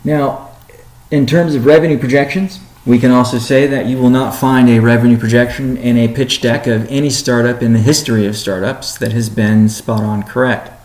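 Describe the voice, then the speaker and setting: A man, a company chief executive, answering community questions in a measured, deliberate, businesslike way on a weekly conference call. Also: mid-range voice, fairly even intonation, darkish tone, reverberant.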